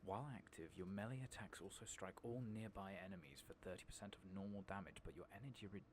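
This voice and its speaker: A man giving soft-spoken, partly whispered ASMR commentary as he plays a game, muttering to himself in a halting, unhurried way. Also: low voice. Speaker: gaming streamer. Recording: clearly noisy, game ambience and clicking.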